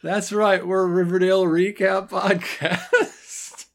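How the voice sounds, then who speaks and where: A man's voice: sits high, level tone, takes it slowly, fairly bright tone. A man co-hosting a podcast in casual, teasing banter, often wandering off into asides. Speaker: podcast co-host.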